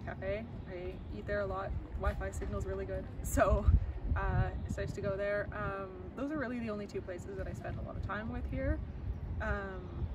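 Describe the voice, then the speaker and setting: A young Canadian woman talks through interview answers, loose and chatty with lots of "um" and "really." She stands a few meters from the camera outside, so her voice sounds distant. Loud traffic and street noise run underneath. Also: mid-range voice. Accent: Canadian English. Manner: chatty, many fillers and repeated "really". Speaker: interviewee, law student. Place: outdoors on a campus plaza by a glass building. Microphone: camera mic from a few meters away. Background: outdoor traffic noise.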